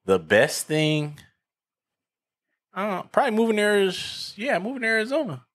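A man's voice: high-pitched, sing-song, normal speed, fairly bright tone. A man in his early thirties hosting a podcast, talking in laid-back, slangy banter while a little tipsy. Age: 30s. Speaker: podcast host. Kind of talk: casual chat.

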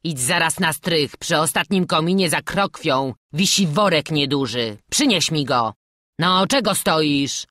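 Woman acting aging male bandit, storybook villain, gruff barking manner, bullying, animated pitch, dry close sound. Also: unhurried, no room sound. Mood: bossy. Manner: gruff barking threats and mocking coaxing. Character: menacing old male bandit, bullying and wheedling. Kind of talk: audiobook storytelling.

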